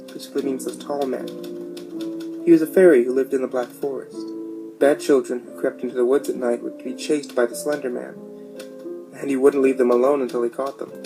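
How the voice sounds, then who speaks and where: A man's voice: medium pitch, low-quality recording. A man narrating a scripted horror story as a storyteller. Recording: music behind.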